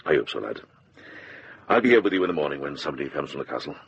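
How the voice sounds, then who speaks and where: An older monk, shrewd and kindly. His voice is very deep and rough-edged, on a slightly dulled radio drama recording. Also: sing-song, rapid-fire, dull audio, heavily acted. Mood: calm, grave. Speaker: actor.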